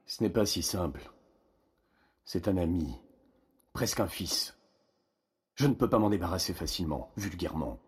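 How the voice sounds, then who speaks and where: An aging Roman ruler in an audio drama, his low voice heavily rasped. He is proud and weary, and speaks with the command of one who alone decides. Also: lively intonation, moderate pace, pauses often, heavily acted. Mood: weary, reluctant. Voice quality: husky, rough. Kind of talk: French audio fiction acting.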